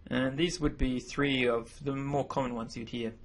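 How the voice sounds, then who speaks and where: A man with a slightly husky, mid-pitched voice talks through a slideshow lesson. His first language is English, and he explains in an easygoing way, often correcting and repeating himself. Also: normal speed. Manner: relaxed, self-correcting explanations. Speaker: language teacher. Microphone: voice-over.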